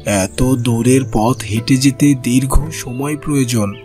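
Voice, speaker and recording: A man's voice: mid-range voice, unhurried, warm tone, soft background music, lightly acted. A man voicing a solemn, vengeful old wandering holy beggar in a fairy-tale cartoon. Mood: pleading, respectful.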